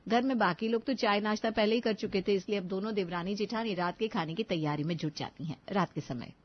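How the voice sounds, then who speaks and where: A woman's voice: mid-range voice, fairly quick. Woman, story narrator, scripted delivery, muffled recording.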